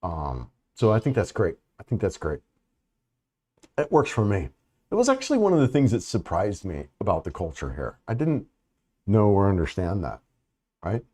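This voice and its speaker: A man's voice: lowish voice, wildly swooping pitch, moderate pace, warm tone. A middle-aged man rambling through a casual live stream, thanking viewers for comments, with lots of fillers and restarts. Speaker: vlogger hosting a live chat.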